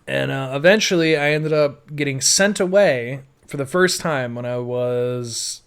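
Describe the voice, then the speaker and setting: A man's voice: medium pitch, a little slow, smooth. A man telling his own life story in a casual, rambling, conversational audio-blog monologue, peppered with "like" and "you know". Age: adult. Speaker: podcaster.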